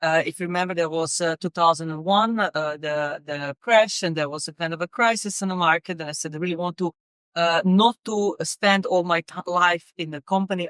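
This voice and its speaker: Woman, smooth and halting. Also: on the low side, a bit bright, no room sound. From Serbia. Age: middle-aged. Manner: halting, many fillers and repeated words. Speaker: guest, company executive. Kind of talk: casual interview conversation.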